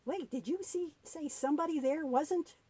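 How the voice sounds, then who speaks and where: A woman's voice: sits high, moderate pace, mellow, no room sound, natural voice. A woman voicing an eager, curious young boy puppet in a children's puppet show, easily amazed and full of questions. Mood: curious amazement. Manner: wide-eyed, questioning, exclamatory.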